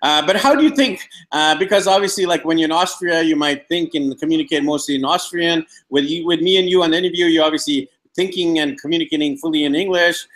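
A man's voice: on the high side, fast talker, a bit bright. A man hosting a casual interview over a video call, chatty with many fillers.

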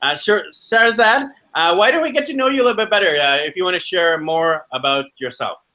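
High, bright-voiced man hosting. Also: expressive, fairly quick. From Vancouver, Canada. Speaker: podcast host and interviewer. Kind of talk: upbeat podcast hosting. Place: indoors in a condo. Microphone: video call.

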